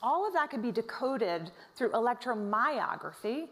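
Female expert presenter, fairly high voice, articulate, explanatory, measured emphasis. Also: sing-song, fairly bright tone.

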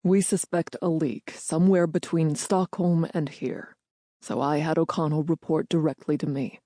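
A woman's voice: low-pitched, steady tone, normal speed, mellow, no room sound, clean studio sound, voice pushed down. A woman voicing a stern, commanding older male general with a curt, withering manner in an audiobook. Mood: stern, hard.

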